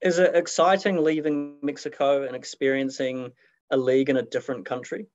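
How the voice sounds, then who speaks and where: A man's voice: mid-range voice, flat delivery, brisk, a little mellow, lo-fi sound. A man, a reporter asking a footballer plain, direct questions at a press conference.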